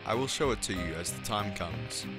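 Bookish lab scientist for radio drama, male actor, low-voiced, formal and exact, music loud underneath. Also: expressive, moderate pace. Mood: earnest, composed. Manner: formal, precise wording. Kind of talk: fan radio play acting.

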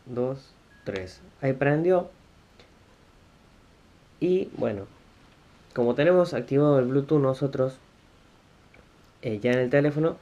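Male, Argentine accent, casual motovlogger explaining step by step, haltingly; dull lo-fi recording. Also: medium pitch, mellow. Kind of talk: casual hands-on tutorial. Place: room at home, indoors. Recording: dull, lo-fi sound.

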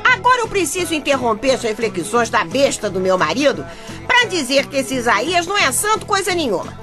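Radio soap narrator: gossipy, indignant Brazilian wife, high voice, outspoken and chatty, music underneath. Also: female voice, very animated, fairly quick. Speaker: actress narrating a radio drama. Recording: music playing behind the voice.

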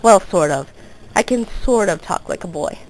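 A teenage girl with a hoarse, sore throat, rambling through casual gameplay commentary. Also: medium pitch, lively intonation, moderate pace, darkish tone, poor audio. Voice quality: gravelly. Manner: rambling and self-interrupting, coughing now and then. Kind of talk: casual let's play commentary.

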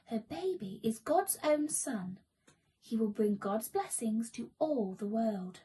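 A reassuring angel from a children's storybook, acted by a woman. The voice is high and slightly husky, proclaiming each phrase slowly and with emphasis. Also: lively intonation, dark tone, full character voice. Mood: gentle command. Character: calm, reassuring angel announcing good news.

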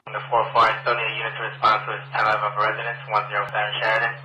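Male police dispatcher, forceful monotone, procedural calls over radio with slight noise. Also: fairly low pitch, very fast. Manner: clipped, procedural. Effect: two-way radio. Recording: echoey, noisy police radio transmission.

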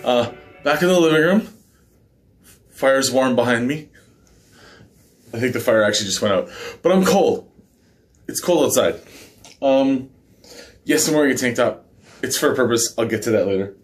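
A man in his thirties, slightly hoarse, hosting a casual men's devotional from his living room, talking in a relaxed way with fillers and small asides. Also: medium pitch, normal speed, long pauses. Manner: relaxed and conversational, with filler words and small laughs. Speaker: men's ministry devotional host. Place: living room by a fireplace, indoors. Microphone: fixed camera at mid distance.

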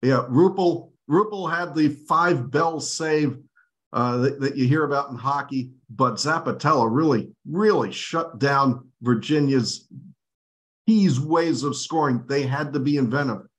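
Measured middle-aged man analyzing a game. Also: mid-range voice. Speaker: host. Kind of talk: postgame sports analysis chat. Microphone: video call webcam.

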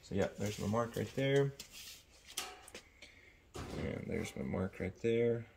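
Gravelly tradesman in a noisy workshop. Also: fairly low pitch, expressive, slow pace. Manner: talks in short bursts while working with his hands. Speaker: HVAC sheet metal tradesman demonstrating. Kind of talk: hands-on how-to demonstration, unscripted. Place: large indoor warehouse workshop. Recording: loud workshop noise, metal clanking and clicks.

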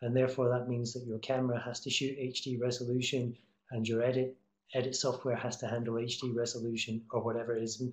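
Middle-aged man, even monotone delivery, casual encouraging mentor, webcam in an echoey room. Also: medium pitch, fast talker. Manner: casual, encouraging, many fillers and restarts. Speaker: guest speaker, TV promo editor. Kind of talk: online talk answering students' questions. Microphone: webcam on video call. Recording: echoey room over video call.

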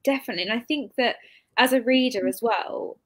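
A woman's voice: fairly high pitch, a little fast. A woman, hosting an interview in a casual, chatty, informal way. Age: 20s. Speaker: interviewer, bookshop event host. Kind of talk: casual chat. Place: indoor room, on a video call. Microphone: computer mic on a video call.

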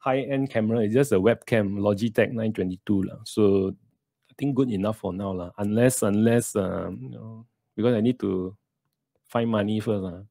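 Malaysian-accented male host in his thirties, low and a bit gravelly, casual livestream Q&A, close dry studio mic. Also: normal speed, dark tone, no echo. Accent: Malaysian English. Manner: chatty, sprinkles lah and Malay words. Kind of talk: casual live Q&A chat. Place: small room indoors. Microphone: USB studio mic on a stand, close.

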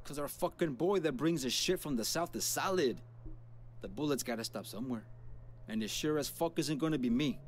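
A man's voice: medium pitch, lively intonation, brisk, slight background noise, lightly acted. An actor voicing a suspicious, menacing male gang boss in a video game, with a confrontational, streetwise, curse-heavy manner. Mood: grim.

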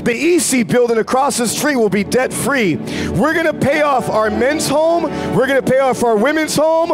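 A man in his forties preaches from a big church stage into a handheld mic. His voice sits high and swings widely as he builds to fervent shouts. Soft music hums behind him. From United States. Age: 40s. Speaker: pastor. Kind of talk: sermon. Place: large church stage with congregation.

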